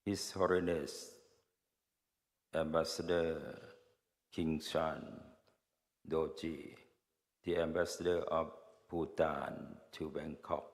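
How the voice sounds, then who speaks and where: A man reading formal salutations aloud at a ceremony, halting phrase by phrase. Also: extremely low pitch, little pitch movement. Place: large hall at a ceremony. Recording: reverberant hall.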